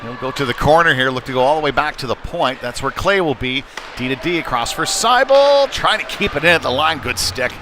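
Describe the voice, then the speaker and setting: A man with a medium-pitched voice calls a youth hockey game live. He speaks in short present-tense phrases and slips into conversational asides. Clear venue noise sits behind the commentary. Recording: noisy rink ambience behind live commentary. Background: sports venue noise.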